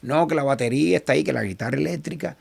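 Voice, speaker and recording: A man from Cuba with a Cuban Spanish accent, a salsa singer talking as an interview guest and telling animated stories, often quoting other people. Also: fairly high pitch, racing, vocal fry, breathy edge. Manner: animated, quotes others in lively asides. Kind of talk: casual interview storytelling.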